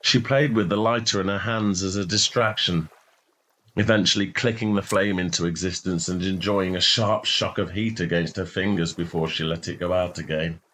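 Male story narrator, lower-pitched voice, measured delivery of literary prose. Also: steady tone, a bit bright. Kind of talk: scripted short story narration.

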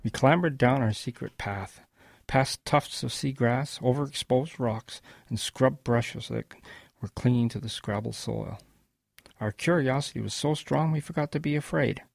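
A man playing a reflective old grandfather who solemnly narrates his wartime boyhood in a radio drama, with a gravelly voice. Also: mid-range voice, dry recording. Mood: nostalgic, mysterious. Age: older. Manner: measured, solemn reminiscence.

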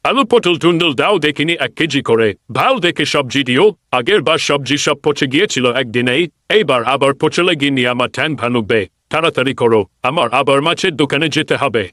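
A man's voice: a little high, animated, a little fast, a bit bright, no echo. A man voicing a chatty, playful, henpecked husband bird in a children's cartoon. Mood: hurried.

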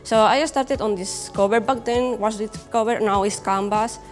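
A young woman from Spain with a Spanish accent, answering interview questions casually and haltingly as she searches for words. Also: medium pitch, long reverb tail. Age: young adult. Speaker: comic creator being interviewed. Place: indoor brick-walled studio set. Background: music.

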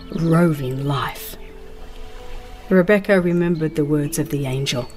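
A woman reads a religious audiobook as solemn, dramatized narration, in a measured and deliberate way. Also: medium pitch, darkish tone. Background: music.

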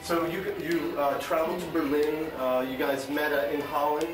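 A man interviewing two musicians, asking questions in a halting way with many fillers. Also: a little high, little pitch movement. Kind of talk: casual interview. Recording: very echoey room, distant mic, music behind.

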